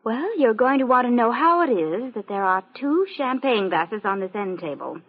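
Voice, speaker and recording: A woman's voice: fairly high pitch, animated, normal speed. An actress playing a sassy, defiant young show-business woman with pert comebacks in an old-time radio mystery. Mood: pert, defensive. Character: sassy, defiant young showbiz blonde under questioning. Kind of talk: old-time radio detective drama acting. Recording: muffled vintage radio recording.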